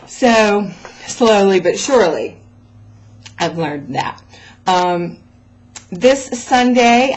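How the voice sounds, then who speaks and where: A woman's voice: medium pitch, poor audio. A woman talking casually and motivationally to her followers as a vlog host, addressing the listener directly with encouraging emphasis. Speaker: host of a raw food vlog.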